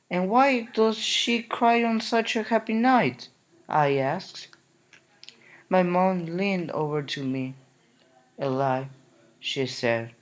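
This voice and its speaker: A man reading a children's picture book aloud in a gentle, unhurried way. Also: sits high, rough recording. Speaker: narrator. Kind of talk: storybook reading.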